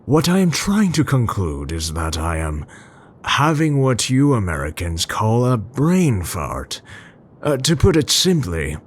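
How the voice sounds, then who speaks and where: A man playing a tender, scholarly scientist in an intimate ASMR roleplay, speaking formally and haltingly. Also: mid-range voice, wildly swooping pitch. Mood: apologetic, matter-of-fact. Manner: halting, formal, professorial, hesitant pauses. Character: tender, scholarly Dutch male scientist, brooding but gentle.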